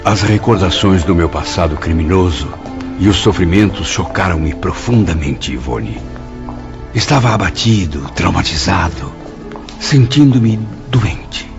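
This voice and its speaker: A man playing a remorseful spirit who recounts his past in a solemn, measured radio drama style. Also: deep voice, expressive, gravelly, heavily acted. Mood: downcast, shaken. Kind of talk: radio drama acting, first-person narration. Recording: dull lo-fi audio under music.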